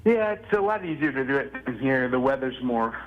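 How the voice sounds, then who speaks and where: A man calling in to a radio show, chatty and laughing often, his voice a little gravelly today. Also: mid-range voice, sing-song, a little fast, lo-fi sound. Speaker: radio guest, impressionist. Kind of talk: casual phone chat. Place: remote call from Florida.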